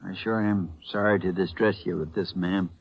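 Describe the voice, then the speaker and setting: An actor playing an apologetic, easygoing small-town sheriff with a Southern American accent in a 1950s radio western, polite and gentle. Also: male voice, low voice, fairly even intonation, moderate pace, creaky, rough recording. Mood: sympathetic. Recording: vintage 1950s radio drama recording.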